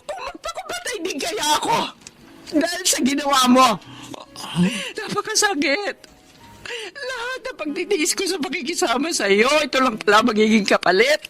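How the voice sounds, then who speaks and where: An actress playing a wounded, long-suffering wife in a Filipino radio drama, proud, emotional and accusing. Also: female voice, high voice, sing-song, normal speed, music in the background. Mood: anguished.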